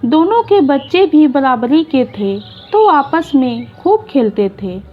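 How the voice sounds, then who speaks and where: A woman narrating a short moral story in an unhurried, gentle reading style. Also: high-pitched, highs cut off.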